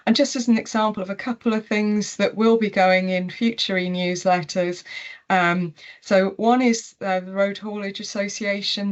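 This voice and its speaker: A woman giving a webinar presentation on a video call, steady and pausing with frequent ums. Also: mid-range voice, lo-fi sound. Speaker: tourism marketing presenter.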